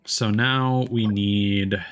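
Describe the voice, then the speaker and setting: Guy with a lowish voice on a game stream, rambling to chat, swears a bit, faint music behind. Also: fairly even intonation, extremely slow, a bit bright, smooth. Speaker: livestreamer playing a game. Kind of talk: casual gaming stream chat.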